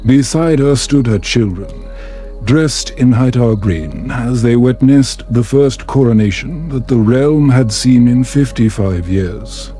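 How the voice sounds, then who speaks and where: A man narrating a bedtime story in a calm, soothing, measured manner. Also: mid-range voice, soft background music.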